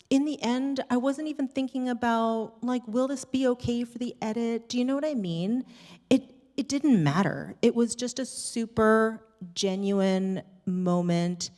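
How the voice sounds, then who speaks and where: A woman's voice: fairly high pitch, darkish tone. A woman telling candid stories on a panel in an informal, conversational and enthusiastic way. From Canada. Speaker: series host on a Q&A panel. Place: theatre stage at a live screening Q&A. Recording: reverberant hall, stage microphone.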